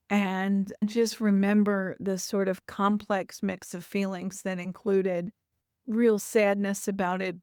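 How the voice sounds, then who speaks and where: A woman in her sixties from Louisville in the United States, giving thoughtful, reflective interview answers and often pausing to rephrase. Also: medium pitch, a little mellow, clean tone, no echo, studio quality. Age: 60s. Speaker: author, interview guest.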